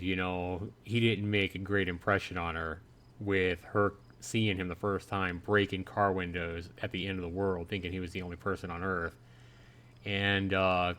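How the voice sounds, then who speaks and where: A man's voice: low voice, fairly bright tone. A man hosting a live play-through of a visual novel, reading it aloud with casual commentary and reactions. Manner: reads the dialogue aloud, then paraphrases and reacts.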